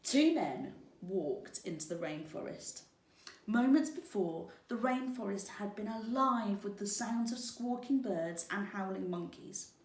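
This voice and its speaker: Female storybook narrator, teacherly, deliberate unhurried pace, distant roomy recording. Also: medium pitch. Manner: deliberate, expressive read-aloud. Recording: roomy, echoing, distant microphone.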